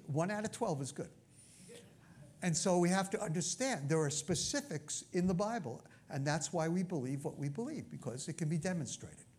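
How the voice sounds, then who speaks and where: A male preacher with a Brooklyn accent, speaking in a slightly higher register than most men. He teaches through scripture in a loose, conversational way. He often stops to check in with his listeners. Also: darkish tone. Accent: New York (Brooklyn). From Brooklyn, New York. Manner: conversational, frequent asides and check-ins. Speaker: pastor. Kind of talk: sermon teaching.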